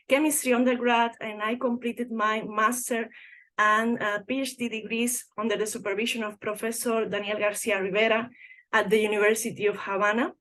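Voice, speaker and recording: A woman presents a science lecture. She speaks carefully, filling pauses with uhs. Her voice sits a little high. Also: a bit bright. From Cuba.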